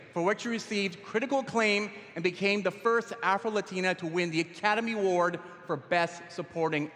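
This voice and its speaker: A man's voice: sits high, fairly bright tone. A man hosting a conference on stage, with playful, upbeat emcee patter. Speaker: event host. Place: large hall on stage. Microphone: stage microphone. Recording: hall reverb.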